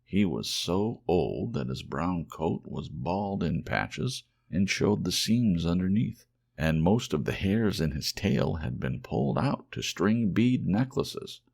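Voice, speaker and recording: Male ASMR audiobook narrator: deep, animated intonation, measured children's storytelling. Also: gravelly.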